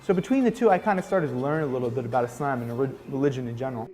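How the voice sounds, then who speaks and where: A twenty-two-year-old man from New Jersey explaining things in a relaxed interview. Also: mid-range voice, racing, soft background music. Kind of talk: casual interview.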